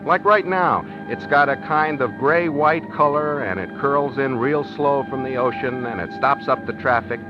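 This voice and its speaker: Henpecked working stiff played by a man, medium-pitched, plainspoken and wistful; dull old radio recording with music. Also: moderate pace, few pauses, close to an everyday voice. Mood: wistful, musing. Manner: plainspoken, hesitant, pleading. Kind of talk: 1950s radio drama acting, first-person narration.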